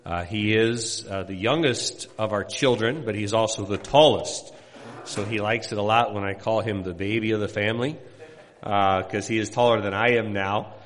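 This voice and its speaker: A middle-aged man from Indiana, a missionary pastor, introducing himself to a congregation in a folksy, rambling way with many pauses. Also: on the low side, fairly even intonation, fairly bright tone, big-room sound. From Indiana, USA.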